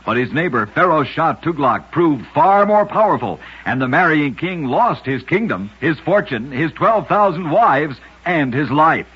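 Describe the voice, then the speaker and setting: A man's voice: on the high side, sing-song, measured pace, hardly any pauses, husky. A man narrating short scripted oddity stories as a radio host, with a dramatic storytelling delivery. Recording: muffled vintage radio recording.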